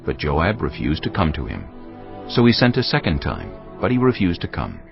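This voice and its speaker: A man narrating scripture from a script in a measured storytelling manner. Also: a bass voice, wildly swooping pitch, gravelly. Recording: slightly muffled, music underneath. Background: background music.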